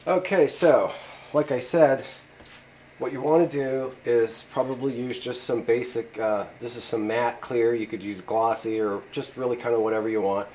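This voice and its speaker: A man's voice: medium pitch, fairly even intonation, normal speed, darkish tone, a little hiss or hum. A man in a home workshop giving a rambling, casual how-to talk with many fillers. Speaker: channel host demonstrating a repair.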